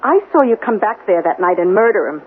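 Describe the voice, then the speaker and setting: A woman's voice: medium pitch, animated, a little fast, slightly rough. Desperate mother on tinny old radio. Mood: bold, threatening. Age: middle-aged. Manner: insistent, pleading. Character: desperate, stubborn mother turned amateur sleuth. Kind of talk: 1970s radio drama acting. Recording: muffled, phone-like vintage radio with faint hiss.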